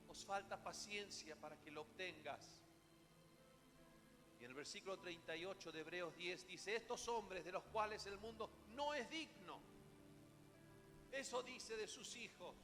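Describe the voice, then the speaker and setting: A male preacher with a Rioplatense Spanish accent delivers a sermon in a high-pitched voice. He is dramatic, leaning on rhetorical questions and emphatic repetition. His voice rings through a long hall reverb, with clear music playing behind it. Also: fairly bright tone.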